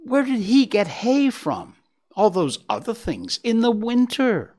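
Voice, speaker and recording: A man's voice: high voice, sing-song, normal speed, creaky, mellow, husky, pitched above the performer's own voice, full character voice. A man voicing a curious, eager young female deer asking questions in a storybook reading.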